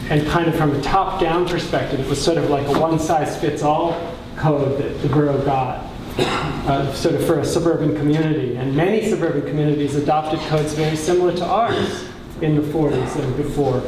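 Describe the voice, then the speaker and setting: A soft-voiced man addresses a council meeting in a slightly high register. He is thoughtful and halting, often searching for the right word. The room is very reverberant, with clear noise behind him and the microphone some way off. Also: steady tone. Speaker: borough councilor speaking at a caucus meeting. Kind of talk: extended remarks at a public meeting. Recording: echoey room, distant mic, clear background noise.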